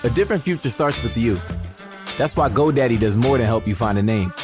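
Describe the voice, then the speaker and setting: A man's voice: mid-range voice, expressive, a little fast. A man reading a scripted, inspirational commercial voice-over. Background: music bed.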